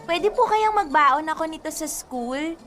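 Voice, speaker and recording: A woman's voice: sits high, lively intonation, a little slow, a bit bright. A woman playing a sweet, admiring young granddaughter in a radio drama. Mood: sweet, pleading. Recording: music playing behind the voice.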